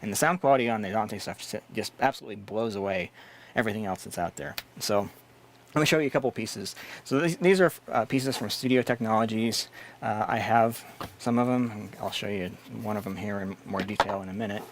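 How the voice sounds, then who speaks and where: A man's voice: fairly low pitch, fairly quick, a little hiss or hum. A man hosting a gear video, explaining products in a casual, conversational way.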